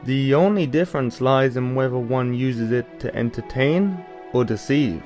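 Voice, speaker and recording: Man acting a calm, bookish older brother. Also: mid-range voice, soft background music, natural voice. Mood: explanatory. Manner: measured, explanatory, slightly formal. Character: calm, caring, bookish older brother who explains things. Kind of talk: anime-style audio drama acting.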